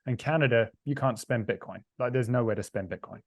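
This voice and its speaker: A man raised in New Zealand, a podcast guest telling quick, chatty stories. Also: mid-range voice, no echo, clean studio sound. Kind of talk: casual interview chat.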